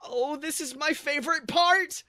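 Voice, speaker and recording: A man voicing an excitable, friendly male theme park visitor, eager and chatty, in a comedic story reading. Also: very high-pitched, a little slow, no echo, pitched above the performer's own voice, full character voice. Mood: excited. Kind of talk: comedic fan fiction reading.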